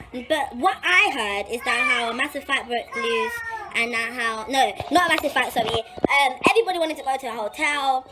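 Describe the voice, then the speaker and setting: A young adult woman with a London accent telling a personal story in casual, slangy, animated chat, repeating phrases for emphasis. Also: sits high, noisy background.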